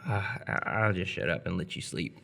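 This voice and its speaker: A man's voice: sits low, brisk, gravelly, sharp tone, exaggerated voice. An actor in live radio theatre playing an awkward, earnest seventeen-year-old boy, hesitant and curious.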